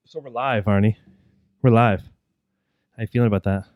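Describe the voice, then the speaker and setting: A man's voice: on the low side, normal speed, dry recording, phone-quality sound. A man hosting a podcast, rambling casually with lots of fillers and restarts into a close mic. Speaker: podcast host. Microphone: close studio mic.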